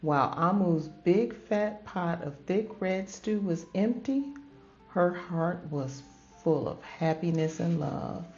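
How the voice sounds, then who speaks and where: A woman reading a children's story aloud as host, with dramatic, drawn-out phrasing in a grandmotherly manner. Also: on the low side. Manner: addresses listeners as babies, grandmotherly. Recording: dull lo-fi audio with music behind. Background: background music.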